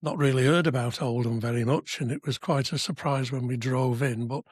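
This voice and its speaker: A man in his seventies from Wales with a slightly husky voice, chatting through memories in an anecdotal way with dry asides. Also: medium pitch, steady tone, fairly quick, no room sound. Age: 70s. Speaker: podcast guest, civic society member. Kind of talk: conversational reminiscence. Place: podcast studio.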